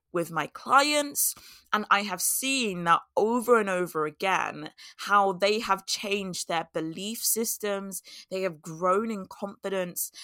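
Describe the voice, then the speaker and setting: A woman hosting her own podcast, talking casually with a voice hoarse and scratchy from a cold, laughing at herself and repeating her key points. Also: lowish voice, sharp tone, no room sound, studio quality. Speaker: podcast host. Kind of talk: casual solo podcast talk.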